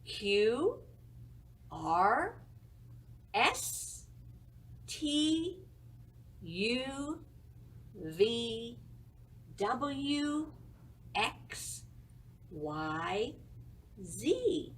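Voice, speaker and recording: A woman speaks very slowly to small children, with a highly animated, sing-song delivery. She is leading a story time, prompting with questions, and sounds distant from the mic over faint noise. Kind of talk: leading letters and counting for young children.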